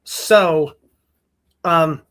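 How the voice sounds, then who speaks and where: A man's voice: fairly high pitch, drawn-out words, a bit bright. A man casually talking with friends as host, explaining his thoughts in a hesitant, considered way. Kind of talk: casual group chat.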